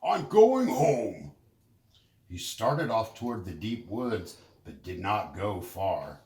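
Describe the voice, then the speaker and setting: A man narrating a children's story in an expressive, deliberate storytelling manner. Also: deep voice, roomy sound. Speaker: storybook reader.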